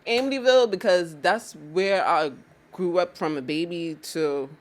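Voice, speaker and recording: A woman's voice: lowish voice, moderate pace. A young woman, a guest battle rapper talking casually and slangily about her start. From New York. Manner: laid-back, slangy, keeps asking "you feel what I'm saying". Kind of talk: casual interview chat.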